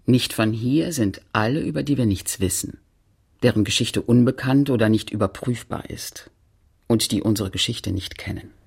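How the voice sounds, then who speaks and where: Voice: medium pitch, moderate pace, vocal fry, husky. An actor playing a terse, factual narrator of a post-war French town in a literary radio play, with clipped statements. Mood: detached, deliberate.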